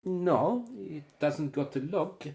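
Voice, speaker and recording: A woman's voice: sits low, takes it slowly, warm tone. A woman playing a reluctant, sulky and timid underling in a radio drama, grudging and defensive. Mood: explaining. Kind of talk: audio drama acting.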